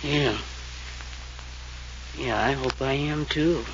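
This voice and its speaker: A man's voice: medium pitch, takes it slowly, lightly acted. An actor playing a drunken, sardonic man of the house, drawling and chuckling in a 1940s radio drama, on a dull old recording. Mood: tipsy mocking. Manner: tipsy, drawling, chuckling mockery. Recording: dull lo-fi old radio transcription with faint hiss.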